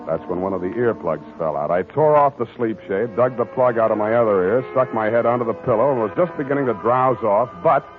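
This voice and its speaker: An American actor playing a weary, wry private detective in deadpan 1940s radio drama narration. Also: male voice, mid-range voice, a little fast, hardly any pauses, natural voice. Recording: muffled 1940s radio broadcast, music behind.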